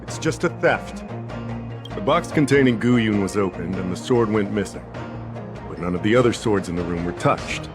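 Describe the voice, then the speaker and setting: An actor voicing a brisk, skeptical male investigator in a video game, pointed and deductive. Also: lowish voice, animated, over music, lightly acted. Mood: explaining, matter-of-fact. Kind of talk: acted video game dialogue.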